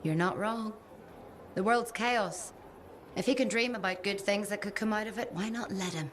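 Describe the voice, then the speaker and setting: An actress playing a caring, practical mechanic and mother, conversational and gently wry, in video game dialogue. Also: medium pitch, normal speed, slight background noise, close to an everyday voice. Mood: fond, wry.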